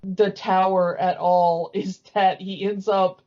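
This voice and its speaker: A woman's voice: medium pitch, flat delivery, slow pace, dull audio. A woman co-hosting a podcast, recapping a cartoon in casual chat with rambling, amused storytelling. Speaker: podcast co-host.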